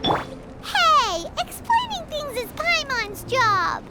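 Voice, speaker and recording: Squeaky, animated little fairy girl. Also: very slow, exaggerated voice. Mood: indignant. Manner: refers to self in third person, exclamatory. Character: small childlike floating fairy companion, chirpy and indignant. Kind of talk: anime game voice acting. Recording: music behind the voice.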